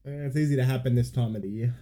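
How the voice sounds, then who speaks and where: A man's voice: mid-range voice, racing, warm tone. An Australian man co-hosting a podcast in casual chat, teasing and jokey. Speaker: podcast co-host.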